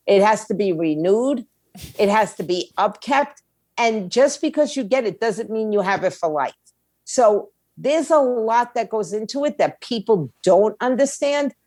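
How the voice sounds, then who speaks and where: A woman's voice: mid-range voice, expressive, unhurried, a little mellow, dry recording. A woman speaking as a guest expert in an interview, explaining things in a plain-spoken, emphatic, conversational way. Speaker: guest, music attorney and indie label owner.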